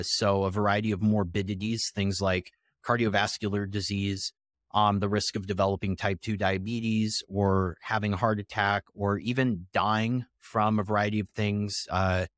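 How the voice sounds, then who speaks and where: A man around thirty speaking as a podcast guest, explaining research in a measured, conversational way with pauses between phrases. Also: on the low side, fairly bright tone, dry recording, clean studio sound. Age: 30s.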